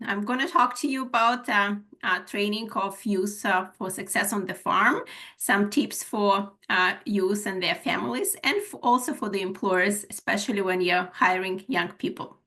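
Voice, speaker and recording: A woman's voice: mid-range voice, normal speed, sharp tone. A woman with a Ukrainian accent presenting a webinar as an HR manager, with many filler sounds as she goes through her slides. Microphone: video call.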